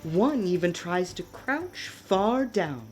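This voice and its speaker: Low-voiced, unhurried woman narrator. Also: big pitch swings, darkish tone, faint music behind. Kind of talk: scripted narration of a radio play.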